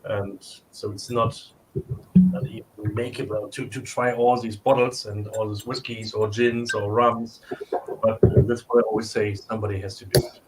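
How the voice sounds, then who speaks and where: A man's voice: on the low side, level tone, normal speed, a little hiss or hum, poor audio. A man with a German accent hosting a casual tasting, searching for his English words as he goes. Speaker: tasting host and shop owner.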